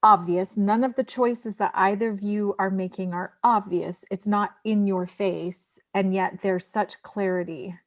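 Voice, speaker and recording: A woman in a casual discussion, speaking in a halting, rambling and emphatic way as a podcast guest. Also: medium pitch, fairly even intonation, no room sound, clean studio sound.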